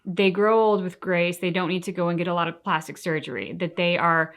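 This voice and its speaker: A woman's voice: fairly low pitch, fairly even intonation, fairly quick, a bit bright. Earnest woman chatting reflectively. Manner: earnest, philosophical, thinking aloud. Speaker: co-host of a reaction show. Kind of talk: casual reflective chat.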